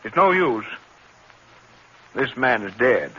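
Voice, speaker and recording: Slow, grave man. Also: mid-range voice, very animated, bright, forceful tone, exaggerated voice. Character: grave man pronouncing a death at the scene. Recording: dull, noisy old broadcast recording.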